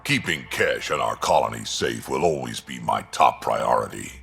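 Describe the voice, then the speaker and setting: An actor playing a gruff old alien grandfather and colony veteran in a video game, blunt and wry with a very gravelly voice. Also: animated, moderate pace, fairly bright tone, soft background music, exaggerated voice. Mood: resolute. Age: older. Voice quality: very gravelly, rumbling. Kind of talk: video game dialogue acting.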